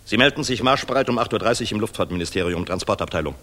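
An actor playing a dashing, hard-drinking, sardonic general in a 1960s German radio drama. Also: male voice, fairly low pitch, expressive, racing. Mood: sober, resigned. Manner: boisterous, jovial, sharp-tongued. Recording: reverberant mono 1960s radio recording, music behind.

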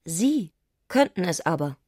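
A woman's voice: on the low side, very animated, unhurried, darkish tone, husky, exaggerated voice. An actress playing an intense, eccentric young society woman who speaks with urgent insistence in a radio play. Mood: insistent.

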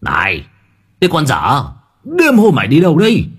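A crotchety old man with a husky voice performed by a male narrator. He is blunt and foul-mouthed, grumbling and scolding with big swings of pitch in storybook drama style. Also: very animated, moderate pace. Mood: scornful.

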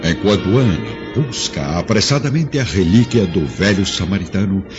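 A man narrates a dramatic radio play with measured, solemn delivery. His voice is very low. The sound is dull and lo-fi, with loud music beneath it. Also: animated.

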